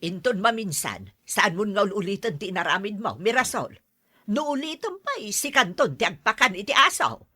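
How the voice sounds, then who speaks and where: A woman's voice: mid-range voice, sing-song, normal speed, bright, forceful tone, breathy edge, no echo, studio quality, heavily acted. A woman playing a firm, practical, scolding mother in an Ilocano radio drama.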